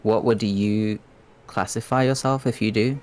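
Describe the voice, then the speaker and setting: A man's voice: on the low side, steady tone, a little slow, a little mellow, a little hiss or hum. A man hosting a casual livestream, putting questions to a guest in an informal way. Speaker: host of a livestream.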